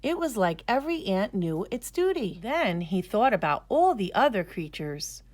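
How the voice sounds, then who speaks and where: Expressive woman reading a children's story. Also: medium pitch, moderate pace. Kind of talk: scripted children's story narration.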